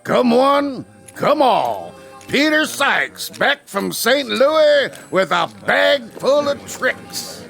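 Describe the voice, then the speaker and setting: A fast-talking frontier huckster with a bright, high voice that swoops through folksy American sales patter. He is theatrical and wily, as in a western radio play. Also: big pitch swings, creaky, soft background music, heavily acted. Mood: showy, hawking. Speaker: actor in a radio drama. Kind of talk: theatrical radio drama acting.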